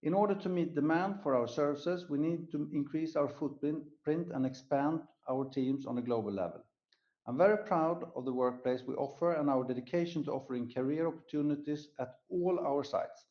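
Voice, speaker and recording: A man's voice: a little high, moderate pace, dull audio. A man presenting company results as an executive on an earnings call, reading prepared remarks in a formal way. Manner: formal, scripted delivery. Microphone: conference call line.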